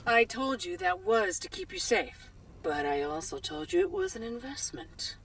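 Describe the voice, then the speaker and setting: An actress playing a sly, warm woman arms trader in a video game, with playful, theatrical phrasing. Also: fairly high pitch, huge pitch swings, moderate pace, gravelly, slight background noise, heavily acted. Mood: upbeat, salesy. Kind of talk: video game cutscene acting.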